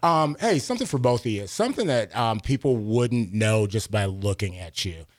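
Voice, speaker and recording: A man's voice: fairly low pitch, animated, normal speed, no room sound. A man hosting a live radio interview from a studio, speaking into a close mic. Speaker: radio show host.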